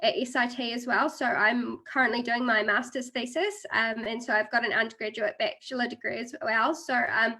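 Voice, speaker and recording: A woman in her thirties with a New Zealand accent speaks on a video call. Her voice is bright and sits a little high. She talks in a friendly, chatty way with plenty of "um"s. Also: fairly even intonation, normal speed, few pauses. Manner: friendly, chatty, many fillers. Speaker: guest speaker, international marketing officer. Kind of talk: webinar presentation.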